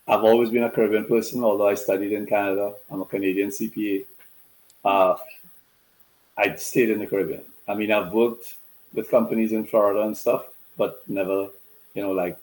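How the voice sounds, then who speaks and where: A middle-aged man from Trinidad, a business consultant chatting as a guest on a video call in a relaxed, conversational way. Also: lowish voice, normal speed, low-quality recording. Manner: relaxed and conversational, many "you know" fillers. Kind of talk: casual interview chat over video call.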